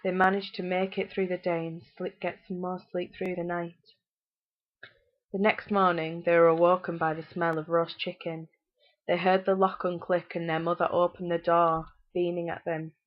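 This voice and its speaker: A woman's voice: on the low side, flat delivery, normal speed, lo-fi sound. A British woman storyteller narrating a fairytale.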